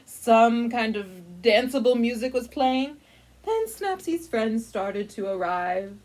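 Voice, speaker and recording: A woman voicing a pompous, melodramatic grand lady who narrates a storybook with haughty, drawn-out drama. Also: on the high side, expressive, darkish tone.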